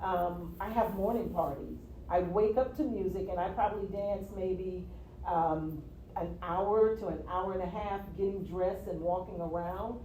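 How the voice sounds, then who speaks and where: A woman's voice: lowish voice, a little slow. Virgin islands lady chatting in an interview, laughs a lot, sounds distant, roomy, bit of hiss. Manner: expressive, laughs easily, repeats "yeah". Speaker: guest. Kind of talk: casual interview. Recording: roomy, from a distance, faint noise.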